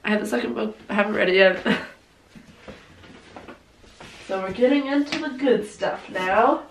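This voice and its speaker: Hoarse young woman, casual gushing book chat, lots of "like", roomy echo, faint hiss. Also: mid-range voice, moderate pace. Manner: gushing, heavy use of "like". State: hoarse, coughing. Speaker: book vlogger. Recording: roomy, distant mic, faint noise.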